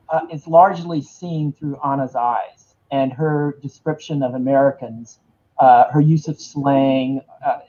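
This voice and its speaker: A man's voice: mid-range voice, dark tone. A man hosting a book launch interview, asking questions hesitantly with many fillers. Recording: dull lo-fi sound.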